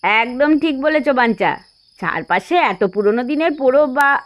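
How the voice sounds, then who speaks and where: A woman voicing an excitable, greedy young uncle in an audio story, blurting and halting, sometimes conspiratorial. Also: high-pitched, no echo, dull audio. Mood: excited. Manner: blurting, halting, dropping to hushed conspiratorial tones.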